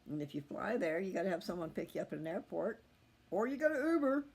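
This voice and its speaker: Folksy man with a gravelly creak. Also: sits high, very animated, quick pace, darkish tone. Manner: folksy, meandering, thinking aloud. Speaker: host of a scripture reading channel. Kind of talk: rambling casual chat to viewers. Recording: faint background noise.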